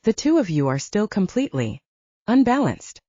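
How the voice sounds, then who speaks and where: A woman voicing a gruff, protective man with a blunt, scolding manner in an audiobook. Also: medium pitch, sing-song, moderate pace, mellow, dry recording, highs cut off. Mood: disapproving. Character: gruff, bossy, protective man who cooks.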